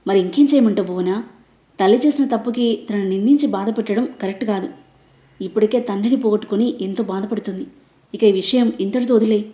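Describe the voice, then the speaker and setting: A woman voicing a calm, kind man who gently comforts and defends his wife. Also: medium pitch, fast, roomy sound, muffled recording, natural voice. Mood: soothing. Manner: gentle, soothing.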